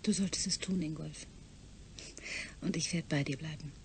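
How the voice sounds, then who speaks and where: Eine Schauspielerin spielt in einem deutschen Hörspiel eine loyale Kollegin, die erklärend und etwas zögernd spricht. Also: female voice, low voice, steady tone, moderate pace, over loud music. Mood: earnest. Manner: explanatory, conversational, hesitant. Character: astronaut's loyal female colleague, explaining, reassuring. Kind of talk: German radio play acting.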